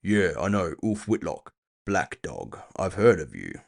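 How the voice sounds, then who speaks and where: Man voicing a blunt, deep orc bounty hunter. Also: big pitch swings, measured pace, creaky, exaggerated voice. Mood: businesslike. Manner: blunt, dry, confident. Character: big, cool-headed male orc bounty hunter, cocky.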